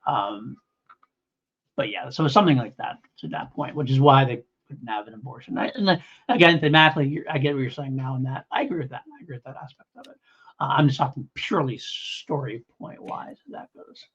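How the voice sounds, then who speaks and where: Male review co-host: conversational, meandering explainer, agreeable, average pitch, somewhat muffled recording. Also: fairly quick, a bit bright, breathy edge. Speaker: podcast co-host. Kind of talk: casual audio drama review.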